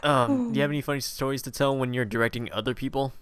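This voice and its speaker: A man's voice: mid-range voice, steady tone, fast, a bit bright, clear, resonant tone, dry recording. A man hosting a casual podcast interview. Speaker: podcast host.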